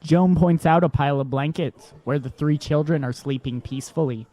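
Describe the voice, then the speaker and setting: A man narrating a drama in even, descriptive scripted lines. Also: a little high, moderate pace.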